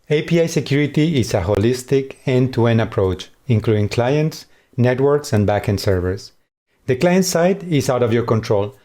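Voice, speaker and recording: A man with a Spanish accent presenting a scripted conference talk in a measured way. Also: medium pitch, mellow. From Spain. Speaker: conference speaker.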